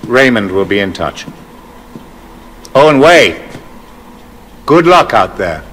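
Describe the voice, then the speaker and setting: An actor playing a stern, middle-aged police superintendent in a video game, speaking formally and deliberately with authority. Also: male voice, medium pitch, sing-song, fairly bright tone, full character voice. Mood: cool, commanding. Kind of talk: video game cutscene acting. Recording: long echoing room reverb.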